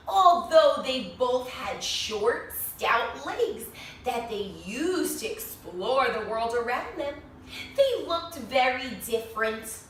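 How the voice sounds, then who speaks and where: High, echoey woman reading to young children. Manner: expressive, sing-song, explains words and asks questions. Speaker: children's author reading own picture book. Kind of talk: animated read-aloud story for young children. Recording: very echoey room, distant mic, faint noise.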